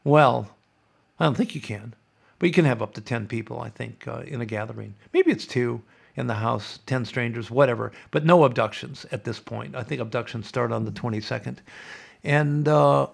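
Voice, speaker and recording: A middle-aged man runs his podcast as a sarcastic, opinionated monologue. His voice is gravelly and fry-laden, and he sounds stuffed up and half-hoarse from congestion. His pitch sits at an ordinary middle height for a man. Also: moderate pace. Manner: sarcastic, mock-chanting opponents. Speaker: podcast host.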